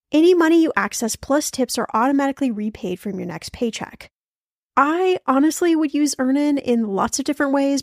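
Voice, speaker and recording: A woman's voice: high voice, a little mellow. A woman co-hosting a podcast, moving between casual chat and scripted sponsor reads. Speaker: podcast co-host.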